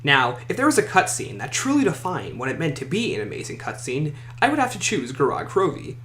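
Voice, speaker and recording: In an echoey room with faint noise, a man delivers scripted countdown commentary as a video host. His fairly high voice moves up and down in a very lively way. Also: a little fast.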